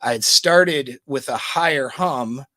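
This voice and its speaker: A man giving relaxed, chatty dating-coach advice on a livestream, speaking close to a microphone. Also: takes it slowly, no echo. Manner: demonstrates voice pitch changes, relaxed and chatty. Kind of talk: casual livestream coaching talk.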